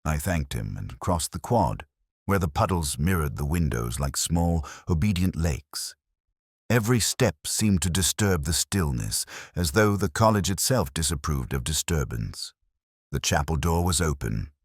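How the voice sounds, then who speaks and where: A man narrating a literary mystery in the first person, in a measured, melancholy and solemn way. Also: a bass voice, animated, slightly rough, dry recording, studio quality.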